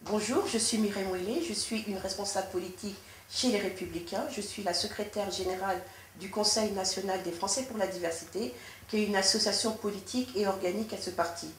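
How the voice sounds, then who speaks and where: A woman from France answering interview questions as a politician, in a measured, formal way with frequent restarts. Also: mid-range voice. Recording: echoey room with faint noise.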